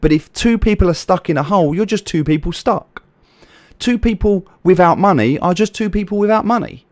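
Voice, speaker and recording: A man's voice: high voice, expressive, brisk, no echo. A British man hosting a livestream, answering chat by name and making earnest, repetitive points about helping others. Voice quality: soothing voice. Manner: earnest, preachy, repeats points for emphasis; reads viewer names from chat and answers them. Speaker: livestream host.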